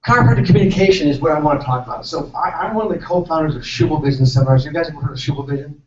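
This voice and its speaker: A man's voice: on the high side, rapid-fire, a little mellow. A man from San Francisco, a chiropractor, giving a casual, self-deprecating seminar talk and asking the audience questions. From San Francisco, California. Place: seminar hall. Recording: echoey hall, distant mic, dull sound, faint noise.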